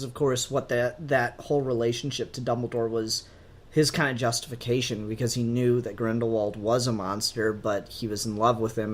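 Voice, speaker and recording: A man co-hosting a podcast in casual chat, informal and rambling in the way he talks. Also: medium pitch. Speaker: podcast co-host.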